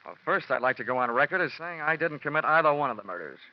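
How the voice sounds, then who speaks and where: Wisecracking American radio detective, muffled sound. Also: male voice, a little high, fast. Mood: wry, defensive. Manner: wry, deadpan wisecracking. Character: wisecracking hardboiled freelance insurance investigator. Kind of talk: 1950s radio detective drama acting. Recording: muffled old radio broadcast.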